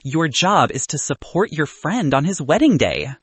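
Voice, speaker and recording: A man voicing an exasperated female professional bridesmaid with a firm, businesslike, sharp-tongued manner in an audiobook. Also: fairly high pitch, sing-song, moderate pace, breathy edge, no echo, voice pushed up. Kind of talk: audiobook character dialogue.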